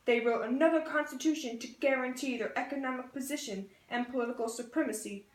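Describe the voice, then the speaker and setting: A young woman with a fairly high voice presents scripted history in dialogue, like a student project. The sound is strongly roomy, with clear reflections around her words. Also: fast. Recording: roomy, echoey room sound.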